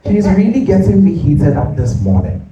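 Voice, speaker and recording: An actor with a Ghanaian accent playing a lustful, resentful husband in a theatrical stage reading. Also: male voice, fairly high pitch, sing-song, moderate pace, dark tone, highs cut off. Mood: lustful, admiring.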